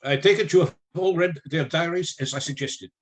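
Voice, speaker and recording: A man playing a learned, commanding vampire hunter in a radio play, with formal, deliberate oratory. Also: a little high, close to an everyday voice. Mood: grave, commanding.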